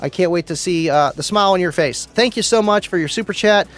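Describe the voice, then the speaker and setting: A man hosting a casual livestream, chatting excitedly with viewers, laughing often and tripping over names. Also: sits high, a little fast. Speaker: livestream host. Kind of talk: casual livestream chat reading viewer comments. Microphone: studio mic.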